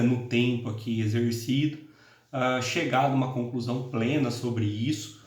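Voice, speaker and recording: A young-adult man lecturing on law with a northern Paraná interior accent, pausing on fillers and restarting his phrases. His voice sits mid-range and bounces around a roomy space, picked up from some distance. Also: mid-range voice. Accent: northern Paraná interior Brazilian Portuguese. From interior of Paraná, Brazil. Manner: hesitant, many fillers and self-corrections. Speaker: law professor and course coordinator. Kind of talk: informal recorded lecture. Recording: echoey room, mic at a distance.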